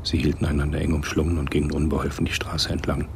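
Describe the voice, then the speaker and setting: An actor narrating a spy thriller radio play as a grave storyteller, with a rough, husky voice and a measured, sober delivery. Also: male voice, extremely low pitch, level tone, darkish tone, a little hiss or hum. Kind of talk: scripted radio drama narration.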